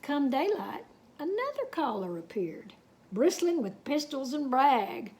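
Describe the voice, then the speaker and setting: High-voiced woman telling a story slowly. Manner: folksy, drawling, expressive, drawing out words. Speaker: storyteller reading a picture book aloud. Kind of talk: animated children's read-aloud with folksy asides.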